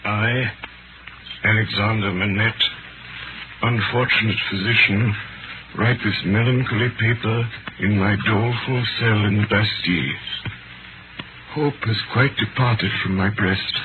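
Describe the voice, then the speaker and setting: A scratchy, narrow old radio broadcast of an actor as a sorrowful physician. His lowish voice is solemn and measured, heavy with melancholy. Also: fairly even intonation, natural voice. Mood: doleful, solemn. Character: grave, sorrowful imprisoned French physician recounting his ordeal. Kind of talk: 1930s radio acting, dramatic narration.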